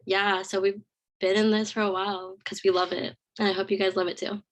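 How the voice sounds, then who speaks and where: A woman's voice: medium pitch, flat delivery, brisk. Young woman from tampa, chatty student club intro, says like and um. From Tampa, Florida. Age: 20s. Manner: casual, chatty, fillers like um and like. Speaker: communications manager. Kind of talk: casual presentation to a student group.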